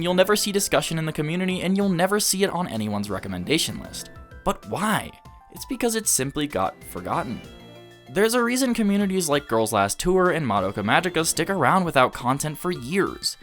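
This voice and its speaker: A man's voice: on the high side, a bit bright. A man in his early twenties narrating a scripted video essay as an opinionated, enthusiastic fan. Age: early 20s.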